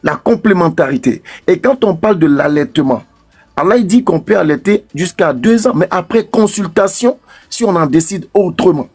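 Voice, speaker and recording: A man's voice: high-pitched, lively intonation, normal speed, darkish tone, husky, soft background music. A man arguing insistently in a live religious debate, pressing to finish his point.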